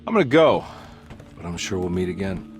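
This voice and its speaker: Weary private detective for a horror game: low, gruff, clipped, music underneath. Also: male voice, big pitch swings, brisk. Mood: guarded, probing. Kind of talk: cinematic video game voice acting. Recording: music behind the voice.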